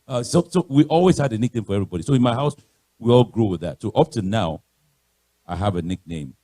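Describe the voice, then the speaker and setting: A middle-aged man with a Nigerian accent and a loud voice, giving a chatty, informal talk as a guest speaker in church. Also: expressive, quick pace, no echo. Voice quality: loud, booming voice. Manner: chatty, anecdotal, repeats phrases. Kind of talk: informal motivational talk to a congregation. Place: church podium.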